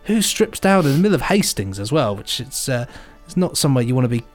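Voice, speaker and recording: A man's voice: medium pitch, sing-song, fast, faint music behind. A man co-hosting a podcast in a casual, enthusiastic, jokey chat style. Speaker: podcast co-host.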